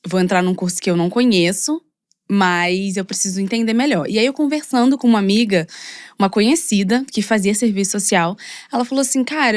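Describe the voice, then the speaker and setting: A young woman from Rio de Janeiro with a Carioca accent, a podcast guest telling her story in a chatty, animated way full of fillers. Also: mid-range voice, no room sound, clean studio sound. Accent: Carioca Brazilian Portuguese. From Rio de Janeiro, Brazil. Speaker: guest, model and content creator. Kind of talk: casual podcast conversation.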